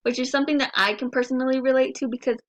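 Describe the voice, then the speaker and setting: A young woman in her early twenties, a native Spanish speaker, presents to her class with a slightly high voice. She talks earnestly and personally, often restarting a phrase and working her way to the point. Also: fairly even intonation, rapid-fire, muffled recording. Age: early 20s. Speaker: student presenter.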